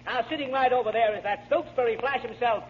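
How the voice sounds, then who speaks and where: An actor playing a chatty nightclub bandleader coaxing a patron with emcee patter in 1940s radio style. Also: male voice, very high voice, fast. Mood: jovial, coaxing. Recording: muffled noisy radio broadcast, crowd behind.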